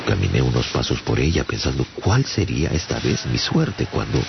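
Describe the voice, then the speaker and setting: Castaway adventurer, gravelly, very deep, dramatic, earnest, radio drama, muffled track with music. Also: male voice, very animated, moderate pace, heavily acted. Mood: tense, recounting. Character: afflicted English castaway man recounting his strange voyages. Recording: dull sound under prominent music.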